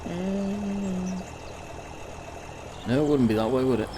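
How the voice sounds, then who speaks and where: Slightly croaky man chatting through gameplay. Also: sits high, lively intonation, slow pace, noisy background. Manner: rambling, chatty, self-correcting. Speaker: gaming video host. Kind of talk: casual gameplay commentary.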